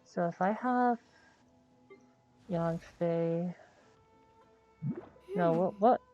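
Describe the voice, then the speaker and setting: Woman streamer, casual, slow-paced, mulls choices out loud, soft music underneath. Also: fairly low pitch, muffled recording. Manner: thinking aloud, hesitant and self-correcting. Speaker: game livestreamer, host. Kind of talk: casual livestream gameplay chat. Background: video game music.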